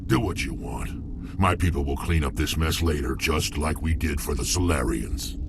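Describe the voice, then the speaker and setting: A man playing a gruff, vengeful alien warrior in a video game, blunt and scornful. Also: a bass voice, moderate pace, very noisy. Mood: bitter. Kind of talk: video game cutscene acting.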